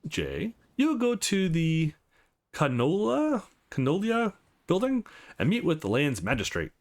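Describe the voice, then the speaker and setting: Man playing shrewd old lawyer, formal and measured. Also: fairly high pitch, wildly swooping pitch, voice pushed up, full character voice. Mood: dry, businesslike.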